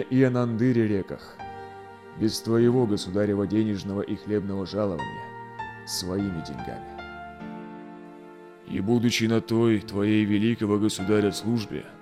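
A man acts out a weary, middle-aged Cossack explorer in a historical audio play. The voice is low and grave, declaiming with a solemn sense of duty, over background music. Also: close to an everyday voice. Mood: formal, petitioning. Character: weary, dutiful male Cossack explorer returned home, a father. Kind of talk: solemn audio drama acting in verse and old chronicle prose.

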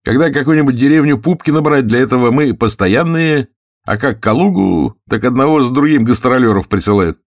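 A man's voice: medium pitch, fairly quick, dry recording, studio quality, pitched above the performer's own voice, natural voice. A man voicing a blunt, good-natured male army correspondent who grumbles and teases, in an audiobook. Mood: mock grumbling. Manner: blunt, colloquial, teasing grumble.

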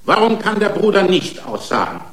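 An aggrieved cyborg racing driver arguing his own case in a radio drama. His voice is slightly high for a man and rings in a roomy space. He argues in pointed, rhetorical challenges. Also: huge pitch swings, moderate pace, fairly bright tone, full character voice. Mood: indignant. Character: indignant racing driver, part man part machine, defending himself. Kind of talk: German radio play acting. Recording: roomy studio courtroom sound.

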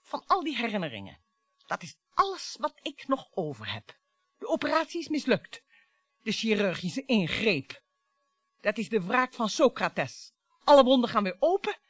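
A bitter, theatrical wife in a radio drama. Her voice is bright and sharp and swings widely in pitch as she mocks and threatens. The sound is dry and close. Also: very animated, moderate pace, no echo, faint music behind. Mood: bitter, brooding. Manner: dramatic, mocking, volatile monologues. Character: embittered, taunting, theatrical wife on the brink of violence. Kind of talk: radio play acting.

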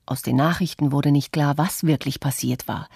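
A woman voicing an adult artist who recounts memories in a measured, earnest way, as scripted radio documentary narration. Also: sits low, fairly even intonation, creaky, darkish tone, slightly rough, no room sound, clean studio sound, heavily acted. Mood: sober, reflective.

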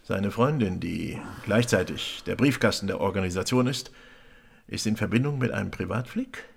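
An actor playing an animated police commissioner who explains a case in colloquial words, in radio crime drama dialogue. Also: male voice, lowish voice, normal speed, gravelly, husky. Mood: explaining, amused.

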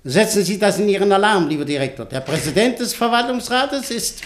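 A man's voice: high-pitched, lively intonation, fairly quick, a little hiss or hum. A man playing an expansive, commanding industrialist in a German radio play, effusive, patronising and insistent in manner. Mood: triumphant, sly.

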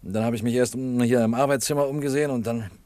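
An actor playing a police inspector in a German radio mystery, formal and polite, brisk but rattled and urgent. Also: male voice, medium pitch, fairly even intonation, darkish tone, lightly acted. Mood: shaken. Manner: formal, polite, hesitant when shaken.

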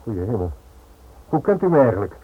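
A man's voice: medium pitch, big pitch swings, a little fast, husky. A man acting a worried, evasive businessman in a radio play, hesitant and nervous under questioning. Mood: wary surprise. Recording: tinny, narrow old radio recording.

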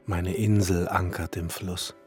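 A brooding, exacting master alchemist, musing aloud in a literary radio play. His voice sits fairly low and moves very slowly, in a measured way. Music runs clearly beneath him. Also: fairly even intonation. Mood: contemplative. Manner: measured, musing, at times hushed and breathy. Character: pensive, exacting male alchemist and glassmaker, master on an island. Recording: music behind the voice.

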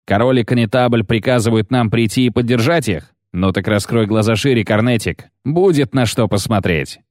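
A man voicing a coarse, defiant dwarf commander in an audiobook, barking hoarsely in a growl. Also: mid-range voice, normal speed, darkish tone, dry recording, clean studio sound, lightly acted. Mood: gruff, defiant. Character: gruff, cursing dwarf commander in battle. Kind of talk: audiobook character acting.

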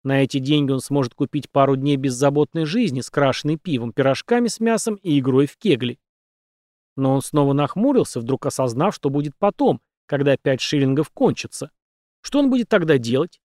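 A man reading an audiobook, narrating the story in an even, steady storytelling manner. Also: medium pitch, a little fast, no echo, studio quality. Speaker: audiobook reader.

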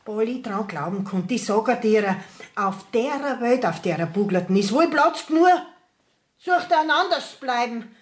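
Scolding village widow, Bavarian dialect, stubborn, slow emphatic delivery, very animated pitch, radio play. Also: female voice. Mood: indignant, scolding. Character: indignant, stubborn village widow pressing her complaint. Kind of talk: dialect radio drama acting.

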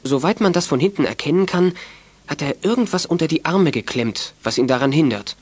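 Husky male radio-play narrator. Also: a little high, animated, fairly quick. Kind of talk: dramatic scripted story narration.

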